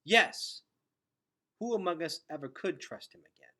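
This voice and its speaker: Guy doing big stern bat councillor from a fantasy book, formal n suspicious, bright sharp voice. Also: a little high, animated, unhurried, voice pushed up. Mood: stern accusing. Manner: formal, accusing.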